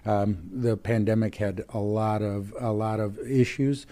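A man born in Mexico and raised near Chicago, a chamber of commerce president talking casually in an interview, friendly, with many pauses and restarts. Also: fairly low pitch, steady tone, mellow. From Mexico, raised in Chicago area.

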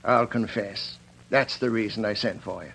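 An actor playing an earnest, fair-minded prison warden in an old Western radio drama, speaking in a deliberate, official way. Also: male voice, on the low side, gravelly, a bit bright. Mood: uneasy. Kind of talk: 1940s-1950s Western radio drama acting. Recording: dull lo-fi old radio recording.

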